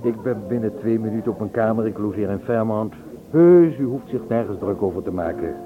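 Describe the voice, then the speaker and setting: A man's voice: on the low side, fairly quick, music in the background, lightly acted. An actor playing a charming, impulsive man who courteously tells of his romance in a Dutch radio play. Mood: gallant. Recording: tinny, band-limited old radio recording.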